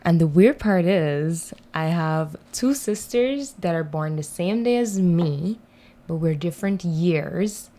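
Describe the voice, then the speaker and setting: A woman's voice: lowish voice, expressive, slow, dark tone. A woman in her twenties from Guyana with a Guyanese accent, chatting easily as an interview guest and laughing often. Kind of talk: casual interview chat.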